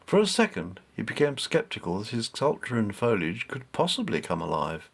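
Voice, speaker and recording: Male narrator for a storybook: medium-pitched, measured and expressive, with lively melodic delivery. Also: gravelly, slightly rough. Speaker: author reading his own novel.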